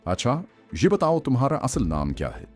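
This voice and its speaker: A man's voice: medium pitch, huge pitch swings, pitched above the performer's own voice. A man voicing a cold interrogator in an audio story, grave and mocking as he delivers threats. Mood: icy, mocking. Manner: grave, icy, sardonic, unhurried threats. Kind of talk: audiobook villain acting. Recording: faint music bed under voice.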